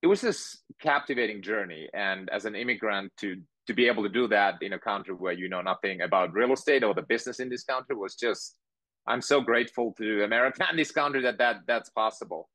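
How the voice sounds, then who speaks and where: A man, telling his story in a measured, thoughtful way as a podcast guest on a video call. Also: on the low side, pushed and bright, no echo. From Finland. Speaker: guest entrepreneur. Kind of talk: interview conversation. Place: home room with bookshelves.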